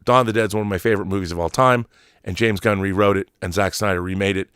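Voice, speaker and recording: A man's voice: low-pitched, fairly even intonation, fast, fairly bright tone, smooth, no room sound, clean studio sound. A man hosting a film commentary show, giving opinions off the cuff in an emphatic, rambling way with repeated and restarted phrases. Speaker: trailer editor.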